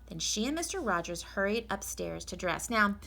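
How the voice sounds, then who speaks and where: Animated woman teacher reading a storybook aloud. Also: medium pitch, moderate pace, creaky. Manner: encouraging, explanatory. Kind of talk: animated read-aloud with explanatory asides.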